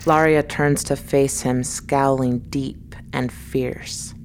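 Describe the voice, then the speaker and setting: A woman's voice: very deep voice, fairly even intonation, slow pace, darkish tone, a little hiss or hum. A woman narrating a scripted dramatic story as the narrator of an audio drama.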